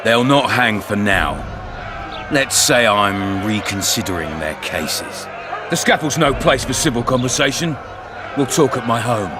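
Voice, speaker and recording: An actor as a barking, bullying town commandant. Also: male voice, mid-range voice, animated, background noise, close to an everyday voice. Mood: sly, menacing. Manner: barking, scornful, slow deliberate delivery. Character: brash, bullying male town commandant, coarse and menacing. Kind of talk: video game voice acting.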